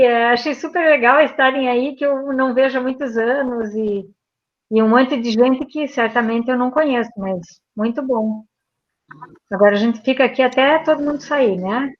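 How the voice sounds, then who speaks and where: A woman, the guest speaker, giving warm, self-deprecating closing thanks on a video call and laughing often. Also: sits high. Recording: dull lo-fi video call audio.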